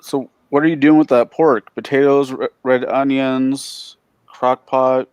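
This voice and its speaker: A man chatting loudly and boisterously during a tabletop game livestream. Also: mid-range voice, little pitch movement, measured pace, clear, resonant tone, no room sound. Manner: loud, boisterous talker. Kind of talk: casual chat on a livestream.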